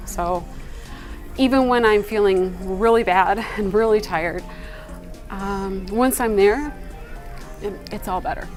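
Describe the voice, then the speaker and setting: Middle-aged woman, earnest, over loud music. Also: mid-range voice, slow pace, long pauses. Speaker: interviewee, high school teacher and track head coach. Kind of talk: conversational interview answers.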